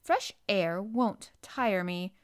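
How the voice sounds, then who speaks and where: A woman's voice: sits high, very animated, normal speed, creaky, a little mellow, pitched above the performer's own voice, exaggerated voice. A woman voicing an imperious, sickly young boy in a storybook, with grand, commanding announcements. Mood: haughty, assured.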